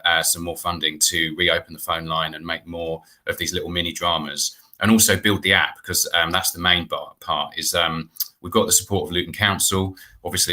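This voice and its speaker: A man's voice: sits low, bright, forceful tone. A man in his forties speaking informally as a guest on a video call, explaining a project. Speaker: guest, artistic director and playwright. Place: indoor room at home, on a video call.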